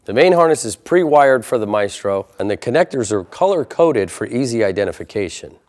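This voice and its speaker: A man's voice: medium pitch, very animated, brisk, darkish tone. A man presenting an installation tutorial in a methodical, plainspoken way, explaining each step in turn. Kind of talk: step-by-step instructional narration.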